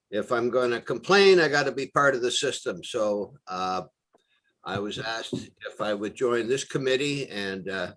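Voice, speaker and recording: A man's voice: mid-range voice, fairly bright tone, low-quality recording. A man committee member speaking earnestly and thoughtfully on a video call. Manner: earnest, reflective, complimentary. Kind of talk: casual meeting chat.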